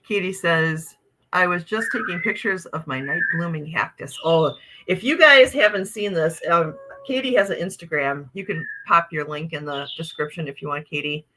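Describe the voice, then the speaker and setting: A woman's voice: lowish voice, moderate pace, background noise. A woman from Wisconsin with an Upper Midwest American accent, chatting casually on a livestream, reading viewer comments aloud in a bubbly, exclamatory way. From Wisconsin, USA. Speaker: livestream host.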